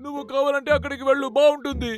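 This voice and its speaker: A man's voice: very high-pitched, flat delivery, racing. A man voicing a playful younger-brother bear in a dubbed children's cartoon, with a childlike, mocking, exclamatory way of talking. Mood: teasing.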